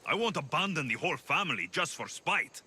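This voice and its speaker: A stubborn Spartan mercenary for a video game, earnest and defiant. The voice is bright and animated, with faint crickets behind it. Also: male voice, fairly high pitch, fairly quick, a little hiss or hum, exaggerated voice. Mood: earnest pleading. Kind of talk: dramatic video game acting.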